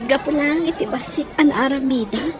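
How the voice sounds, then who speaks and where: A woman's voice: high-pitched, normal speed, lightly acted. A woman playing a polite, modest young female student in a radio drama, with a hesitant manner and crowd noise behind her. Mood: modest, grateful. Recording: noisy crowd ambience behind voice.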